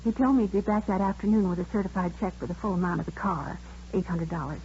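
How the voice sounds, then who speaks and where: A woman's voice: on the low side, fast talker, mellow, natural voice. An actress playing a talkative, proper, aggrieved woman who runs a nursery school, in a 1950s radio drama. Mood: chatty, earnest. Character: indignant, chatty woman running a nursery school. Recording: muffled old radio, faint noise.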